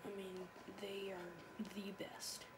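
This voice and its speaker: A woman's voice: medium pitch, monotone, slow. A woman vlogging casually, muttering and thinking aloud as she browses at a computer. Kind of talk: casual chat while browsing online. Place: indoors at a home computer. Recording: clearly noisy home recording, loud background noise.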